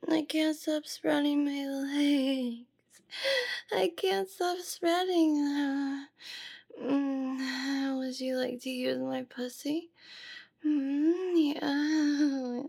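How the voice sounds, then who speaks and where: Woman playing a high, slow, submissive boss in erotic roleplay. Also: warm tone, full character voice. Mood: aroused, teasing. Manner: breathy moans, drifting into whispers. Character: adult female boss, submissive and eagerly pleading. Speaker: performer of adult audio roleplay. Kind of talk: intimate erotic audio roleplay.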